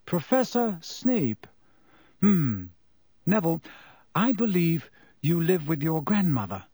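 A man voicing a gentle, patient professor. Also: fairly high pitch, very animated, slow, breathy edge, no echo, studio quality, pitched above the performer's own voice, exaggerated voice. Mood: patient teaching. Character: kind, calm middle-aged male professor. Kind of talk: audiobook character voice.

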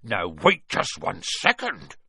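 A man voicing a huge, gruff giant in an audiobook, hot-tempered yet kindly, with rustic dialect and a booming delivery when angered. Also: huge pitch swings, takes it slowly, pushed and bright, no room sound, studio quality, heavily acted. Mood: indignant.

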